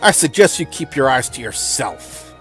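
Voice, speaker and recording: A man's voice: mid-range voice, very animated, normal speed, a bit bright, soft background music. Man voicing a cold, threatening young woman. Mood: menacing. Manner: curt, formal.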